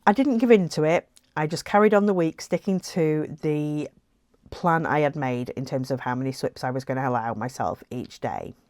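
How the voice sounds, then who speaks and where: A woman's voice: low-pitched, normal speed, a little mellow, no room sound. A woman talking casually to camera as a weight-loss vlogger, chatty and reflective with frequent ums and light laughs.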